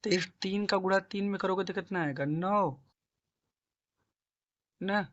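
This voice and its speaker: A hoarse man with a sore throat teaching a math lesson, coaxing students along and asking and answering his own questions. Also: high-pitched, unhurried, fairly bright tone, highs cut off. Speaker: math tutor. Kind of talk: classroom-style math lesson.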